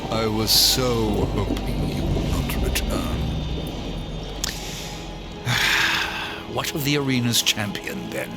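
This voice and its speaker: A man's voice: fairly low pitch, big pitch swings, slow pace, pauses often. A man playing a sly, reluctant undead gatekeeper in a video game, with a theatrical delivery. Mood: weary disdain. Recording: loud music under the voice.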